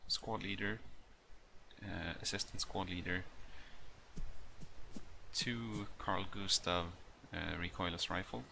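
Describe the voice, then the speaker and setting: A man's voice: deep voice, fairly even intonation, vocal fry, sharp tone. A man, not an English speaker, gives a hesitant walkthrough with many ums and uhs. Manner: hesitant, halting delivery full of ums. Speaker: hobbyist video maker. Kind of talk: casual walkthrough with many fillers. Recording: noisy home recording with a stutter.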